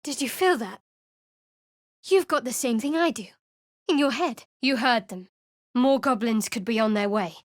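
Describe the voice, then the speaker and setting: A woman's voice: a little high, no echo, studio quality, lightly acted. An actress playing a young woman cleric in a video game, calling out spells and terse battle lines. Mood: alert. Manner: measured incantations and terse battle calls. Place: studio voice booth.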